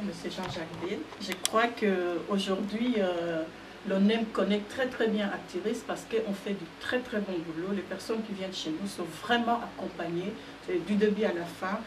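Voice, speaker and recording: A woman who founded an association, answering interview questions in a measured way with some fillers. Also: mid-range voice. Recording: roomy sound from a distant mic with background noise.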